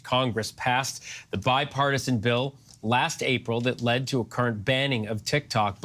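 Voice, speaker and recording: A man anchoring a television news broadcast, reading and interviewing in a measured, formal way. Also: mid-range voice, a bit bright.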